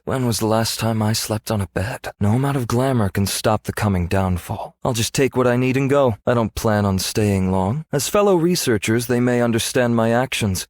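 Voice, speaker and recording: A male actor voicing a scholarly, world-weary archaeologist in a video game, speaking in a measured, reflective, formal way. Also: on the low side, dry recording, clean studio sound, natural voice. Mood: pensive, wry. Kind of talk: scripted game character voice lines.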